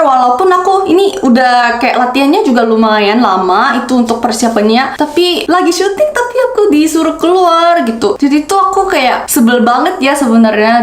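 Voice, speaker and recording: A Korean woman in her twenties, telling a personal story in a chatty, casual way with many fillers. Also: fairly high pitch. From South Korea. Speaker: former student telling a story. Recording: echoey room.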